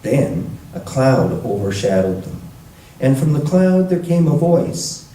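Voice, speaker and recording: A man reading scripture to a church congregation in a measured, deliberate way, recorded with a roomy echo from a distant mic. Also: mid-range voice, very animated, dark tone. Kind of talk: scripture reading with brief introduction.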